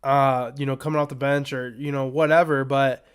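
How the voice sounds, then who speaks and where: A man hosting a sports betting podcast in a loose, rambling, casual way, his voice a little raspy and strained as he is under the weather. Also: mid-range voice, little pitch movement, normal speed. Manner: rambling, loose, filler-heavy asides. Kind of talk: casual unscripted betting picks talk.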